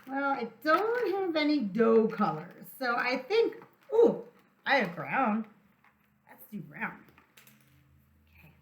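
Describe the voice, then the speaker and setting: A woman's voice: fairly high pitch, very animated, slow pace, pauses often, roomy sound. A woman hosting a playful children's storytime, speaking to young children in an encouraging, sing-song way as they draw along. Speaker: children's storytime teacher and host.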